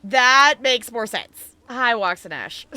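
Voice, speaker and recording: Bright, animated woman streaming a game. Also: on the high side, takes it slowly. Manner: chatty, playful, thinking out loud. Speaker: game livestreamer. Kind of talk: casual stream chat while playing, reading game dialogue aloud.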